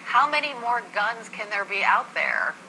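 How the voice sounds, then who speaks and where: A woman's voice: on the high side, very animated, moderate pace, bright, forceful tone, a little hiss or hum, poor audio. An American woman hosting a live news interview, pressing her guest with insistent, challenging questions. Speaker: television news host.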